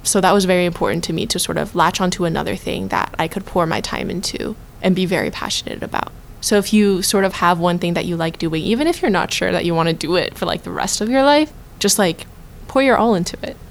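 A woman's voice: fairly low pitch, fast, creaky, slight background noise. A young woman in her twenties, a college student, speaking reflectively and using "like" and "sort of" often. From China. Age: 20s. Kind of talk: reflective personal talk.

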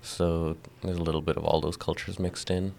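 Young guy with a super deep gravelly voice explaining stuff calmly. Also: normal speed. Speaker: guest, comic book co-creator. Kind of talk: interview answers.

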